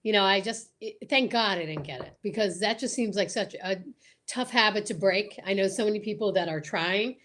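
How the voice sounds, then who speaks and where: A woman co-hosting a casual talk show, asking questions and agreeing in an expressive way. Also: medium pitch, fairly quick, a little hiss or hum.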